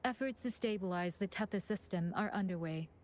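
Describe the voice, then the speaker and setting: Woman acting a polite game admin lady, composed and businesslike, mid pitched voice. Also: fairly quick, darkish tone, natural voice. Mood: composed, analytical. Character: composed, courteous female chief administrator of secret organization.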